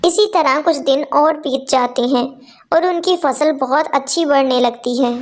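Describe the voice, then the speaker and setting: A woman's voice: sits high, moderate pace, background noise. A woman narrating a scripted children's story.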